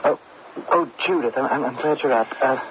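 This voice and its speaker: A man's voice: medium pitch, fairly quick, natural voice. A young man acting an anxious, polite son in an old-time radio drama, with hesitant, stammering delivery. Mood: worried. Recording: dull, noisy old radio broadcast.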